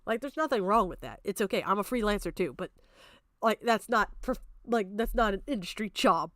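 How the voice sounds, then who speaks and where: A woman's voice: medium pitch, lively intonation, brisk, a bit bright, no echo, clean studio sound. A woman giving off-the-cuff, argumentative stream commentary in a blunt, exasperated, sweary manner. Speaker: streamer reacting to a video.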